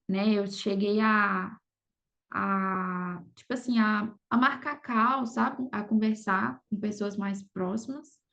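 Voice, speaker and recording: A woman's voice: medium pitch, creaky, muffled recording. A Brazilian woman talking casually as an interview guest, often adding little check-in tags to her sentences. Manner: conversational, frequent "sabe" and "entendeu" tags. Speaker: podcast guest, affiliate marketer.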